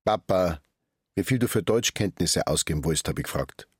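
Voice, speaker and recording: Radio drama son: Bavarian, exasperated with his father, deep and raspy. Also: animated, moderate pace, no room sound, clean studio sound. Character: exasperated grown-up son, a city hairdresser. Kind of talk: radio drama dialogue and narration.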